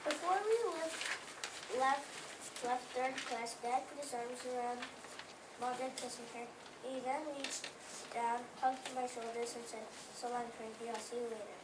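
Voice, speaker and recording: A woman's voice: fairly high pitch, fairly even intonation, unhurried, far from the mic. A woman reading scripted first-person accounts dramatically in a readers theater. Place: library readers theater performance, indoors. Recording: echoey room from afar, noisy.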